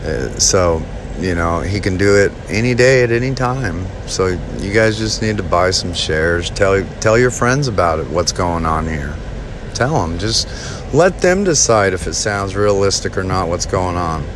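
A man talking casually to his viewers about a stock chart, halting with many ums and restarts. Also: deep voice, animated, background noise. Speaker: stock market video host. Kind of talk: casual talk over a chart screen share.

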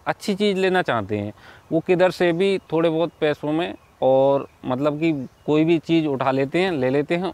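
Man, thirties, medium pitch, informal explainer, repetitive, instructive. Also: darkish tone. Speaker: herbal remedy seller and video host. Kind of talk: informal explanatory talk to camera. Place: outdoors in a wooded clearing.

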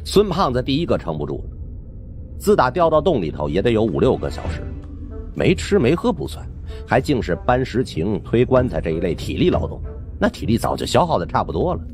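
A man's voice: mid-range voice, huge pitch swings, a little fast, darkish tone, soft background music. A man narrating a suspense audiobook in a colloquial, theatrical storytelling style. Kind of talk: dramatic scripted narration of a supernatural suspense novel.

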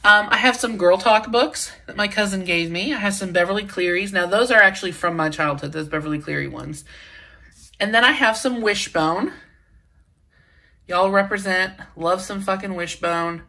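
A woman's voice: mid-range voice, normal speed, bright, forceful tone. A young American woman with a slight cold, chatting informally as she gives a casual shelf tour for her vlog. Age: young adult. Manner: chatty, informal, uses slang. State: sick with a sniffle. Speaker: book vlogger and channel host. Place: indoors in a home library room.

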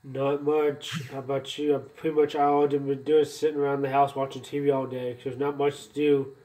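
A man's voice: mid-range voice, level tone, a little fast, mellow. An American man chatting casually as a radio operator on a two-way radio. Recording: noisy, distant mic beside handheld radio.

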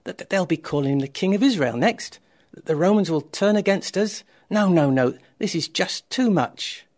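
A man's voice: sits high, expressive, normal speed, a little mellow, voice pushed up. A man voicing an alarmed, jealous Pharisee in a told Bible story, urgent and indignant. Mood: panicked, indignant.

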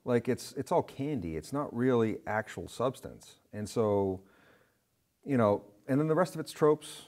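A man's voice: medium pitch, expressive, a little slow, a little mellow. A middle-aged man giving rambling, casual film commentary as a studio guest, on a lapel mic. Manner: rambling, full of "you know". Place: indoor studio set with curtains.

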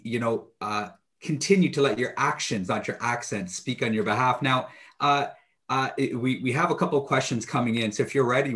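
A man in his thirties moderating an online interview through a headset mic. He pauses and restarts often, with frequent fillers, as he moves into audience questions. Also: mid-range voice, a bit bright. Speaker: interview host. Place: home office. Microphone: headset on a video call.

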